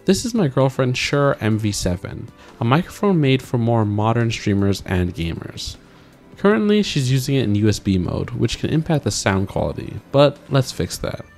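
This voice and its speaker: A man's voice: medium pitch, very animated, normal speed, vocal fry, darkish tone. A man doing an upbeat, scripted voice-over for a product review as a tech vlogger. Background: background music.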